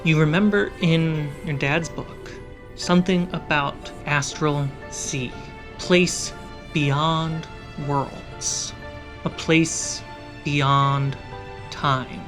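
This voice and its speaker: Slow, suspenseful game master narration over music. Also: mid-range voice, fairly even intonation. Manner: slow, suspenseful scene-setting with short phrases. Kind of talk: dramatic tabletop game narration.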